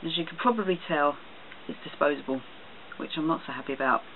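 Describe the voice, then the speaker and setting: A man giving a casual, halting show-and-tell product review for a vlog. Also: fairly high pitch. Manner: hesitant, halting delivery. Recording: tinny phone-like sound, loud noise, clicks.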